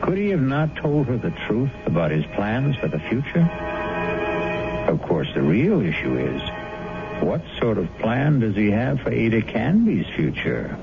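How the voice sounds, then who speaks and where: Slow, gravelly radio mystery host. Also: male voice, fairly low pitch, very animated. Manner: slow, ominous, insinuating. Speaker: radio drama host and narrator. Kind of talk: scripted radio narration. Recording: tinny old radio broadcast over music.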